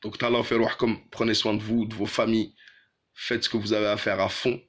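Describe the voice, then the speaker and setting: A man from Algeria giving unscripted, outspoken political commentary to his followers, in a halting, opinionated way with phrases repeated for emphasis. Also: fairly low pitch, little pitch movement, fairly bright tone.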